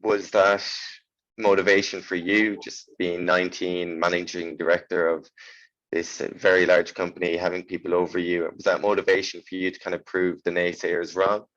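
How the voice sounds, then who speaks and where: Low, even-toned young man. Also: moderate pace, no room sound, lo-fi sound. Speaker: interviewer. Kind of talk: conversational interview questions.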